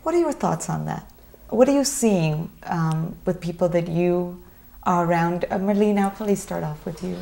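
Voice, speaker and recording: A woman's voice: fairly low pitch, moderate pace, gravelly, mellow. A woman hosting a television panel discussion, asking thoughtful questions that hedge and restart with many fillers. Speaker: TV talk show host.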